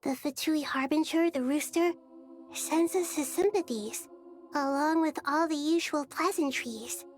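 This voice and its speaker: A woman playing a gentle, wise, childlike female deity in a video game, composed and measured with a playful streak. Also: high voice, faint music behind. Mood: composed, formal.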